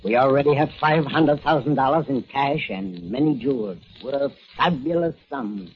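An actor playing a scheming crime boss who gives orders in a smooth, commanding way, in 1940s radio acting. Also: male voice, medium pitch, moderate pace, slight background noise, natural voice. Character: scheming male crime boss called the Octopus. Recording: muffled old radio recording.

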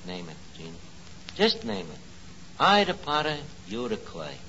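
An actor playing a brash, lovestruck, uncultured tavern manager in a 1940s radio comedy, wheedling and mock-suave. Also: male voice, lowish voice, huge pitch swings, a little slow. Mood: wooing, cocky. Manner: wheedling, mangles fancy words, mock-suave. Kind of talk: 1940s radio sitcom acting. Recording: noisy muffled 1940s radio broadcast.

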